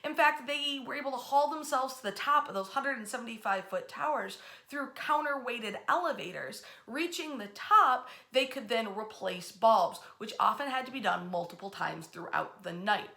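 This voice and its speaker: Female history storyteller and host, crisp forward brightness, slightly high register, expressive bedtime-story delivery aimed at the listener. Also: moderate pace, hardly any pauses. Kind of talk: bedtime-story style history narration to viewers.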